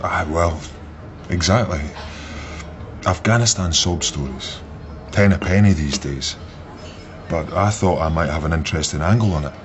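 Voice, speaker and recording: Male actor as young Glaswegian army veteran, low-pitched, intense and wry, radio play, dull recording. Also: normal speed, long pauses, background noise, natural voice. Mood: wry, guarded. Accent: Glasgow Scottish. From Glasgow, Scotland. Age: 30s. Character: intense wounded young ex-army officer, sardonic. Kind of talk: naturalistic radio drama acting. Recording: muffled sound, busy crowd behind.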